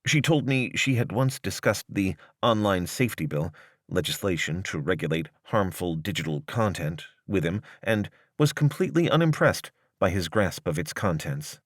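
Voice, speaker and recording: A man with a low voice narrates a piece of written journalism. His delivery is scripted, measured and articulate, with a formal tone. The sound is dry, with very little room around the voice. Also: lively intonation, dry recording. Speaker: narrator reading a long-form article.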